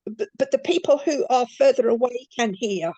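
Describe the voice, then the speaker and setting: An older woman, telling her story as a guest in a halting way that repeats words. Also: a little high, expressive, mellow, no echo, highs cut off. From New Zealand. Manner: slow, halting, repeats words as she goes. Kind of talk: conversational interview.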